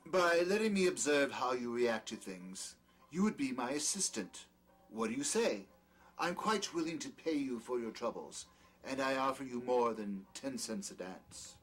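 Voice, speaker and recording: A man playing a peculiar, condescending doctor in a drama, speaking in a formal, wordy way, smoothly charming yet scornful. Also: medium pitch, expressive, normal speed, fairly bright tone. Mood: smooth, persuasive. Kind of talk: dramatic dialogue in an audio play or table reading.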